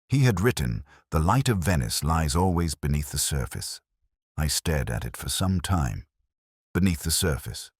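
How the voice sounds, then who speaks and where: Very deep male detective-story narrator. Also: slightly rough, no room sound, studio quality. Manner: measured, literary, reflective. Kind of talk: scripted first-person detective story narration.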